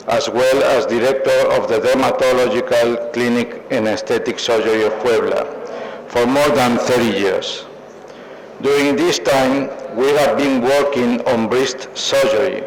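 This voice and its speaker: An older man with a Mexican accent delivers a prepared acceptance speech. He is formal and takes his time over each phrase, in a middle-pitched voice. Faint event noise sits behind him. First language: Spanish. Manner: formal, measured. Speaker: award recipient, plastic surgeon. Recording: noisy live event recording.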